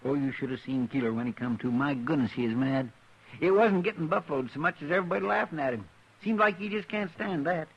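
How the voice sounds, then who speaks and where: An actor playing a loyal, plain-spoken frontier deputy with a folksy drawl and country grammar, in a vintage radio drama. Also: male voice, on the high side, lively intonation, fast talker, breathy edge. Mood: earnest folksy. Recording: dull, hissy old radio broadcast.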